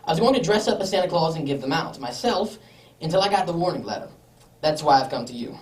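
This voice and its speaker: A woman's voice: low voice, animated, quick pace, distant mic. A woman playing a polite, well-off lord with a formal, slightly hesitant manner in a radio drama. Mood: earnest, worried. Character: well-off, courteous English lord, earnest client. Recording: echoey room, off the microphone.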